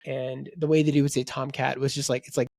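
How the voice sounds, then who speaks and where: A man's voice: mid-range voice, fast talker, gravelly, no echo, clean studio sound. A man co-hosting a book podcast, rambling in casual chat and quoting people in voices. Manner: rambling, animated, quotes people in character voices. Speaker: podcast co-host.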